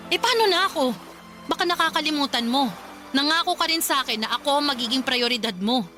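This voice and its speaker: An indignant live-in girlfriend in a Filipino radio drama. Her voice is high and forceful, emphatic and argumentative as she insists on being the priority. Faint music sits behind her. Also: expressive, quick pace.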